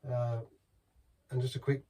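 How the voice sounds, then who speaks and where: A man's voice: medium pitch, little pitch movement, measured pace, dark tone. A middle-aged man chatting casually through a beer review, rambling and restarting as he talks. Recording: voice from some distance from the mic.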